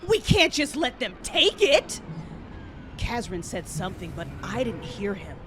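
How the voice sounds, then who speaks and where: A woman's voice: mid-range voice, very animated, a little slow, slight background noise. A woman playing an anguished, rage-consumed Jedi warrior, narrating an audio drama in the first person with intense emotion. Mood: urgent, grieving. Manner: intense, emotive, shifting from hushed to roaring.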